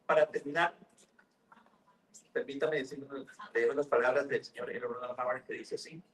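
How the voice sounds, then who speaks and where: A man giving a formal conference speech to young people, measured and unhurried with occasional hesitant pauses. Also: mid-range voice, steady tone, a bit bright. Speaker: conference speaker. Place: conference hall. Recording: distant mic with faint background noise.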